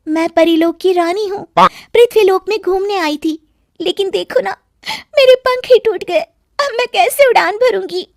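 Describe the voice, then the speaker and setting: A woman's voice: very high-pitched, measured pace, vocal fry, no echo, studio quality, voice pushed up, heavily acted. A woman voicing a plaintive, helpless fairy queen with a broken wing in a cartoon story. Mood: tearful, pleading.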